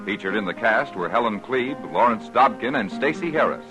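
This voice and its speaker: Lively American radio announcer over music. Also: male voice, on the low side, normal speed, rough recording. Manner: polished, emphatic, formal. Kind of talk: 1950s radio announcing and sponsor reads.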